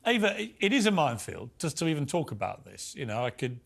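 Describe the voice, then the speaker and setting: A man with a fairly high voice hosts a TV debate. He is emphatic and cuts in on the panel with pointed questions. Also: sing-song, quick pace, vocal fry, slightly rough. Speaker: host. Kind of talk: TV debate hosting.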